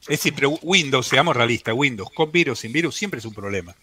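A man's voice: medium pitch, animated, fast, heavy background noise. A man with an Argentine accent giving opinions in casual chat, repeating phrases for emphasis.

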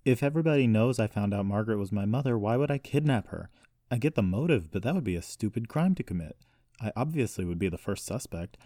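Man acting an indignant, raised-pitch young man. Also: animated, quick pace, mellow, dry recording, studio quality. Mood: hurt, indignant. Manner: incredulous, defensive. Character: indignant, wounded young man denying an accusation.

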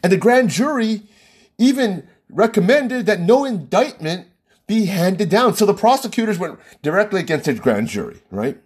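A man's voice: sits high, sing-song, moderate pace, slightly rough. A man with an American accent hosting a solo podcast, talking emphatically and rhetorically, often tossing in "right?". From United States. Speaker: podcast host. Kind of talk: opinionated solo podcast monologue.

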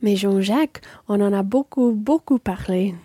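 A woman's voice: mid-range voice, normal speed, dark tone, husky, no echo, studio quality, natural voice. A woman playing a sociable French woman in a scripted radio play for language learners. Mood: gently reassuring. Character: sociable French woman chatting with new friends.

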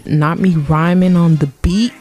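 A young woman hosting her own podcast in casual, playful chat, full of slang, her voice a little deep lately. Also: fairly even intonation, measured pace, mellow, faint music behind. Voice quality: voice sounding a bit deep lately. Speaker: podcast host.

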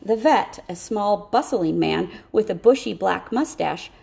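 A woman reading scripted first-person story narration as an audiobook narrator, expressive and careful. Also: medium pitch, unhurried, vocal fry.

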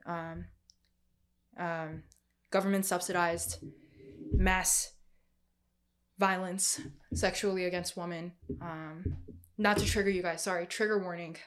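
A young woman with a Korean family background, preaching a sermon in a conversational way with many fillers and restarts. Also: fairly low pitch.

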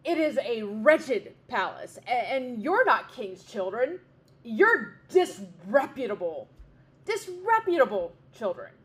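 A woman voicing a rude, pompous male bear, loud and scornful. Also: on the high side, sing-song, takes it slowly.

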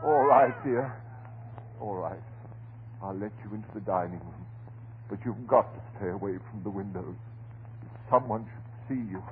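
An actor playing a frantic, devoted, unhinged husband in a vintage horror radio drama, melodramatic, pleading and halting. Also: male voice, on the low side, close to an everyday voice. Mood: coaxing. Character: frantic, devoted, unhinged husband guarding his transformed beloved. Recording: muffled, noisy old radio recording.

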